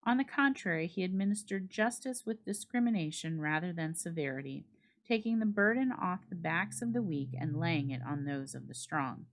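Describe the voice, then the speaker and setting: Female narrator, low register, for dramatic reading of classic fiction; measured and expressive with formal phrasing. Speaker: narrator reading a classic short story aloud.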